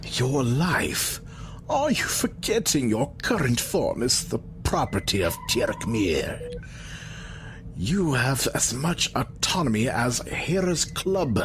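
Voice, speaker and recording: An actor playing an arrogant reptilian scientist in an audio drama, articulate, theatrical and condescending. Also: male voice, mid-range voice, huge pitch swings, slow pace, soft background music, exaggerated voice, rough recording. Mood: scornful.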